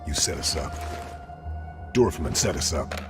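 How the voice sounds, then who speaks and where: An actor playing a grim, hard-bitten Allied sniper agent in a video game, speaking in a terse, grave, controlled way. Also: male voice, a bass voice, expressive, measured pace, music in the background, full character voice. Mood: accusing. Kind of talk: dramatic video game acting, brooding scripted narration.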